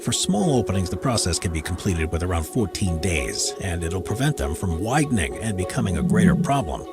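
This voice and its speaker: A man reading scripted explainer narration with measured, emphatic phrasing. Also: deep voice, sing-song, loud music behind the voice.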